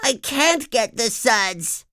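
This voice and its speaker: Woman voicing sick cartoon sponge boy: very high, nasal, stuffy, slow delivery, dry read. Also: expressive, fairly bright tone, dry recording. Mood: sick, pleading. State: sniffly with a cold. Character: cheerful young male sea sponge, eager. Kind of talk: storybook read-aloud.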